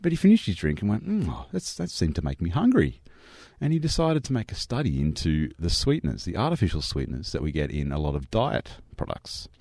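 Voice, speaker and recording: A man with a slightly croaky voice, chatting and mocking the news as a radio co-host. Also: low voice, wildly swooping pitch, a little fast, darkish tone, dry recording. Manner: chatty, mocking, laughs often. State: croaky voice from yelling. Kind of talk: casual radio chat.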